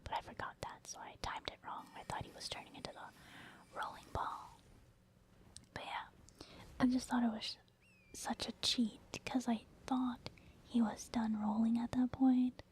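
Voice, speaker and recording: A woman's voice: fairly high pitch, flat delivery, a little slow, a little mellow. Whispering woman, casual gaming ASMR. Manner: casual, rambling, conversational. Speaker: gaming ASMR creator, commentator. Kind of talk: whispered ASMR gameplay commentary.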